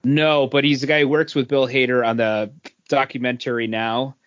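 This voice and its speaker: A man co-hosting a podcast, telling anecdotes in an informal, halting, conversational way. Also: medium pitch, level tone. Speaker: podcast co-host. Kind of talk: casual chat and anecdotes. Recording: dry but dull lo-fi audio.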